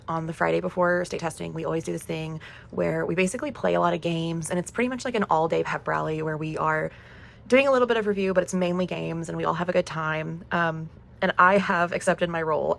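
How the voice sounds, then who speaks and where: Thirties woman, hoarse and gravelly, lowish voice; chatty, upbeat vlogger. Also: a bit bright, slight background noise. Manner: chatty, upbeat, laughs often. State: losing her voice, hoarse. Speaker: cash budgeting vlogger. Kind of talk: casual chat to camera.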